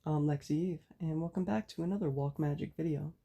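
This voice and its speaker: A woman with a raspy, hoarse voice from a cold, giving a measured spoken lecture as host of her own channel. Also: deep voice, warm tone. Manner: measured, explanatory delivery.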